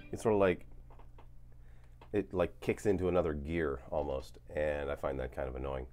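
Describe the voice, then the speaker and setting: A man in his forties, a guitar channel host, talking casually through a gear demo in a relaxed, rambling way with lots of fillers and a lingering cough. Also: very deep voice, moderate pace. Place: home studio with guitars on wall.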